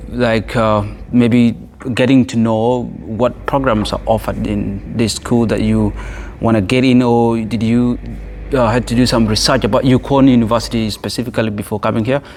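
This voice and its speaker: A man's voice: medium pitch, steady tone, normal speed, a little mellow, a little hiss or hum. A man from South Sudan hosting a podcast interview, speaking in a friendly, conversational way and often restarting words while he thinks. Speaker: podcast host.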